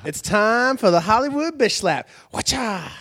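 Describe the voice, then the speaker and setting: A man hosting a podcast, upbeat and prompting his guest with quick interjections. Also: high-pitched, very animated, fairly bright tone, no echo. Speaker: podcast host. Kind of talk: casual podcast chat. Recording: noisy background hiss.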